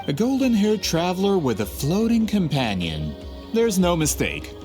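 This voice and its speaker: A man's voice: high voice, huge pitch swings, normal speed, music in the background. An actor playing a polite, persuasive male book publisher in a video game. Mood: delighted. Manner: formal, courteous, salesman-like.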